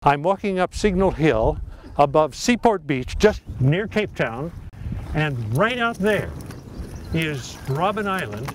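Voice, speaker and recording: A man's voice: a little high, sing-song, slow pace, a little hiss or hum, low-quality recording. An older man hosting a travel documentary outdoors, speaking with fervent, sermon-like enthusiasm. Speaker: host. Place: outdoors on a hillside and a harbor pier.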